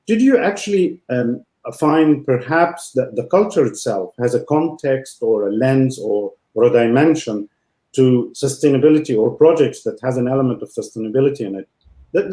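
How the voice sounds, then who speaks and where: Male podcast host, dark mellow voice, halting questions, reverberant room. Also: medium pitch. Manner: hesitant, many fillers and restarts. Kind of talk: interview questions. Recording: echoey room.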